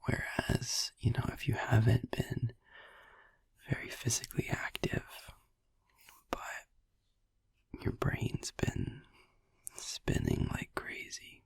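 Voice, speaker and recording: A man playing a sleepy, gentle, reassuring vampire boyfriend in a whispered sleep-aid audio roleplay, rambling in a hesitant, breathy, husky murmur. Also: medium pitch, steady tone, no room sound, full character voice. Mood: drowsy, rambling. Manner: rambling, hesitant, halting, drowsy.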